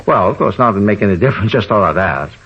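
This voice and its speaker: An actor playing a fussy, eager, nosy homeowner in a 1950s radio drama, rambling and repeating himself. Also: male voice, on the low side, expressive, fast. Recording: dull, noisy old radio recording.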